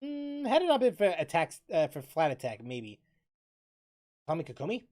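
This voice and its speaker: A game streamer chatting casually while playing, thinking aloud, repeating phrases and breaking into loud exclamations. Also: medium pitch, huge pitch swings, moderate pace. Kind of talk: casual livestream chat and gameplay commentary.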